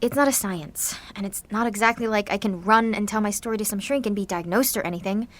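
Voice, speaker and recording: A woman's voice: on the high side, a bit bright. A woman playing an anxious, guilt-ridden seventeen-year-old girl with superpowers in an audio drama, speaking in a confessional, sardonic, conversational way. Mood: wry, resigned.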